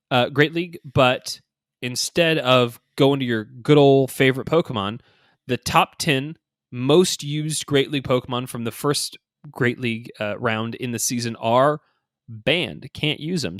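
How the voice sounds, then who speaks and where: A man's voice: medium pitch, moderate pace, a bit bright, no echo, studio quality. A man co-hosting a gaming podcast in casual, conversational chat, often dropping in 'like' and 'you know'. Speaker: podcast co-host.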